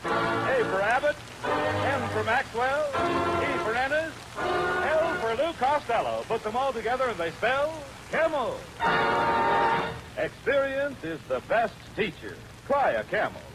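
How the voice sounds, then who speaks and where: A man's voice: extremely high pitch, big pitch swings, measured pace, poor audio. A man announcing in an emphatic, showy 1940s radio advertising style. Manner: emphatic, showman-like. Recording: old radio broadcast under music, rain. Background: loud music and rain.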